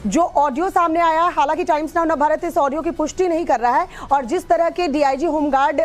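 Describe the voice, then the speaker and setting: A woman anchoring the news and handing over to a reporter in a formal, steady way. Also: sits high, fairly quick. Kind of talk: news presenting.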